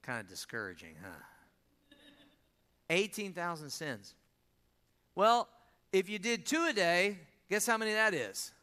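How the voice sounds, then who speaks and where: A pastor, preaching a sermon and drawing answers from the congregation. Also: male voice, high-pitched, big pitch swings, unhurried, long pauses, bright, forceful tone. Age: 50s. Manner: call-and-response preaching, asks the congregation to answer.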